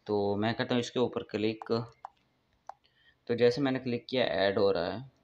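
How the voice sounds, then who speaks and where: Low-voiced man hosting a tutorial, lo-fi sound. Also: steady tone, normal speed, a little mellow. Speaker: host of a tech tips channel. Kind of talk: casual app tutorial narration to friends. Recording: dull, lo-fi voice-over recording.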